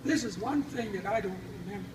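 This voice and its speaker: An older man telling family stories informally, in a folksy, halting, reminiscing way. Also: high voice. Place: family gathering, recorded from a distance. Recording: roomy, distant mic, loud background noise.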